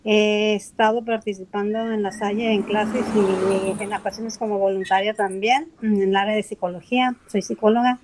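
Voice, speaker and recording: A woman's voice: mid-range voice, little pitch movement, normal speed, few pauses, noisy background. A middle-aged woman, a psychologist, introducing herself with frequent laughs. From Tijuana, Mexico. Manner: giggly, warm. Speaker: psychologist and student. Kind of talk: self-introduction in online class. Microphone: video call.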